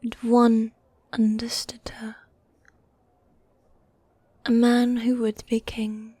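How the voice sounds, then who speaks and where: Woman as a slow, breathy demon girlfriend, whispering. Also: on the high side, monotone, no room sound. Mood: hushed storytelling. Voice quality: soft, breathy, often whispering. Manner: affectionate, coaxing, drawn-out genteel phrasing. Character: needy, dominant goth demon girlfriend, teasing and theatrical. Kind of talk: medieval fantasy ASMR roleplay.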